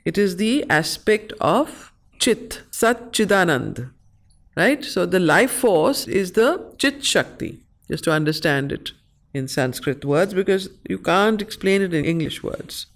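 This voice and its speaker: A woman with an Indian accent reciting verse and explaining it as a study circle facilitator, in a deliberate, emphatic, explanatory way. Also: lowish voice, very animated. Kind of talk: recitation of verse with teaching commentary.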